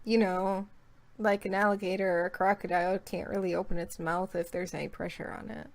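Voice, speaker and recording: A woman's voice: mid-range voice, level tone, brisk. A woman guest in a casual chat about horror stories, playful and chatty, joking and swearing now and then. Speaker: guest co-host.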